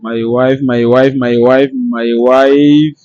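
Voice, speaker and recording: A man hosting an unscripted street interview, speaking in halting, repetitive phrases. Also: mid-range voice, little pitch movement, a little mellow, dry recording, muffled recording.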